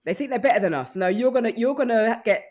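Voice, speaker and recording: A woman's voice: fairly high pitch, expressive, fast, darkish tone. A woman guest on a comedy podcast, ranting casually in an opinionated, mock-exasperated manner.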